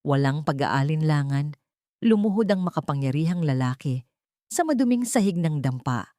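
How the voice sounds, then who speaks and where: A woman's voice: sits low, animated, normal speed, mellow, no room sound, clean studio sound. A woman giving a scripted, dramatic narration of a romantic audiobook story.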